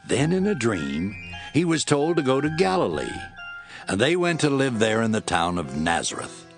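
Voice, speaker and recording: Man, Bible narrator, dramatic scripted reading, animated intonation, music underneath. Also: medium pitch, normal speed, vocal fry.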